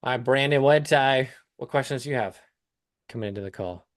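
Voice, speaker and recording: A man's voice: medium pitch, fairly quick, no room sound. A man talking casually as a coach on a live call, explaining things step by step with examples. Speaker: business coach hosting a client call.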